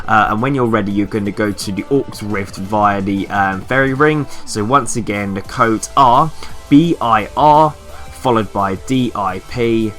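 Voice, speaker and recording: Low-voiced man narrating a game walkthrough. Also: a bit bright. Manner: instructional, step-by-step. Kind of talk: informal walkthrough commentary. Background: background music.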